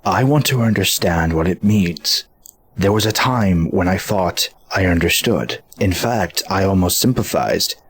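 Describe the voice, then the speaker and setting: A man playing an earnest, principled robot hero in a fan dub, speaking in a grave, measured, deliberate way. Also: low-pitched. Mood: solemn. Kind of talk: scripted fan dub acting. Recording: faint background noise and ambience.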